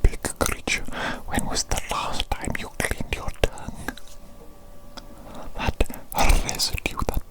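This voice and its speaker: A performer playing an eccentric evil doctor in a whispered ASMR medical roleplay, fussy and theatrical, repeating phrases in a breathy whisper. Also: slow pace, lightly acted, rough recording. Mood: disgusted. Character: eccentric evil doctor tending a big creature.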